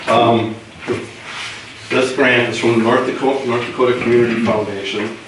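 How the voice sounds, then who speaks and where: An American man with a voice roughened by a cold, informally presenting to a meeting. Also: medium pitch, little pitch movement, normal speed. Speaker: grant presenter at council meeting. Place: indoor meeting room, far mic. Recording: echoey room, distant mic, faint noise.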